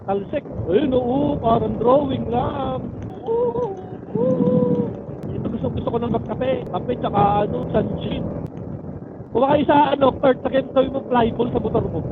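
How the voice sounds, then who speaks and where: A man's voice: very high voice, expressive, a little slow. A Filipino man chatting casually and crudely with friends, swearing freely, while out on a motorcycle ride. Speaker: rider in a group of friends. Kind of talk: casual banter. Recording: thin phone-like intercom, vehicle noise. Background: vehicle and outdoor noise.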